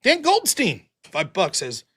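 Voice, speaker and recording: A man with a high-pitched voice and audible heavy breathing hosts a live stream, reading donations aloud. His pitch swings widely as he gets fired up and yells, peppering everything with profanity. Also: takes it slowly, slightly rough. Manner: animated, profane, yells about politics. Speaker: livestream host reading super chats. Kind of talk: casual livestream chat.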